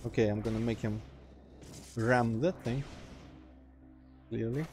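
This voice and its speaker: Russian guy, mid-pitched, mild accent, deadpan let's-play narration over quiet game music. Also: measured pace. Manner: dry, sarcastic asides. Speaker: gameplay commentator. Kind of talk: casual let's play commentary.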